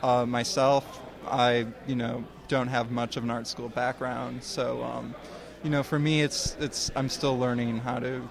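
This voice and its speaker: Young male guest, mid-pitched voice, halting and unsure answers, outdoor interview. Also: flat delivery, gravelly, crowd noise. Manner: hesitant, halting, many ums. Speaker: guest, comics student. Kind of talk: casual interview.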